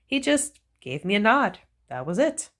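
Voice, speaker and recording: Slow, lively woman reading a picture book. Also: mid-range voice. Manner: slow, animated storytelling with playful emphasis. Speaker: children's book reader. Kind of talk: expressive read-aloud of a picture book.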